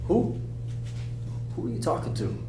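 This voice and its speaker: A man's voice: normal speed, mellow, natural voice. A man at a film table read playing a skeptical, uneasy young nephew with a casual, hesitant delivery. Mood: uneasy, confused. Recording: echoey room with hum.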